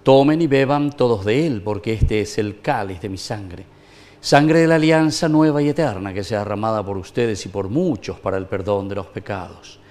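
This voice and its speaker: A middle-aged man from Paraguay, a priest reciting the prayers of Mass in a measured, solemn way in a small wood-paneled chapel. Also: fairly low pitch, darkish tone.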